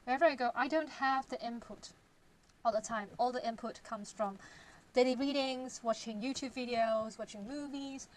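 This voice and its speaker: A woman's voice: on the high side, moderate pace, poor audio. Chatty young woman with a cantonese accent. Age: young adult. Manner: thinks aloud, sometimes drops to a whisper. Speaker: vlogger and novelist. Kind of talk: casual vlog, reading aloud.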